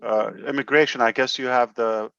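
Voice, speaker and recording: A man speaking informally as a company leader at an online meeting, halting as he searches for words. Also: mid-range voice, steady tone, gravelly, a bit bright, smooth, no room sound, dull audio. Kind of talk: informal motivational address and chat.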